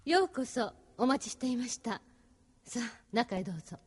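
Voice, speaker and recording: A woman's voice: a little high, animated, normal speed, very noisy, lightly acted. An actress playing the composed, courteous female leader of a secret operation in an anime audio drama. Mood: composed, welcoming. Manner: polite, composed. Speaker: voice actor.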